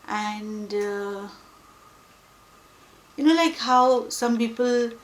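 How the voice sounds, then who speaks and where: A young woman whose first language is Hindi, talking reflectively and pausing often with fillers. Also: mid-range voice, a little hiss or hum. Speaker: book vlogger. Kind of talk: reflective personal talk to camera. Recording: echoey room with faint ambience.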